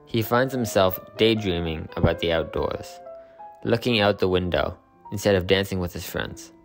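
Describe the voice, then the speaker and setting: A man with a very deep voice narrates a literary video essay. His scripted delivery is steady and explanatory. Faint music plays beneath him. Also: normal speed, creaky.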